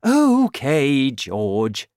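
A woman voicing an excitable, bossy middle-aged father in a children's storybook, exclaiming emphatically and insistently. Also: very deep voice, very slow, no room sound, studio quality, heavily acted. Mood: excited, insistent.

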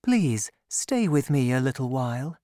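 A woman reading aloud and voicing a charming, calculating woman who speaks in a honeyed, measured way. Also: very deep voice, sing-song, warm tone, no room sound, studio quality, exaggerated voice. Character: scheming charming woman, sweet-voiced and calculating.

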